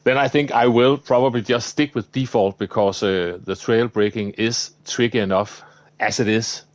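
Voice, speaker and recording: A man's voice: medium pitch, normal speed, no echo. A man with a Danish accent, live-streaming a racing game and chatting to viewers while he drives. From Denmark. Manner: relaxed, thinking aloud while driving. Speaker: livestreamer. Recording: loud game engine noise behind. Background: racing car engine sounds.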